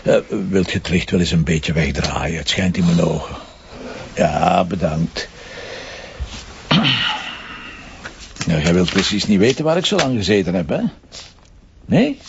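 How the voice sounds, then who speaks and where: An actor playing a stubborn, teasing police commissioner and husband in a Flemish TV drama, speaking naturally with a Flemish accent. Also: male voice, deep voice, lively intonation, moderate pace, vocal fry, highs cut off, slight background noise. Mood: placating, wry.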